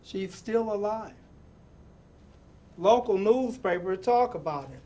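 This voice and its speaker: Slow, high-pitched man reading aloud expressively. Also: lively intonation, mellow. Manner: deliberate, emphatic, with repeated phrases and dramatic pauses. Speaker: storybook reader and presenter. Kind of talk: expressive read-aloud of a picture book with personal asides.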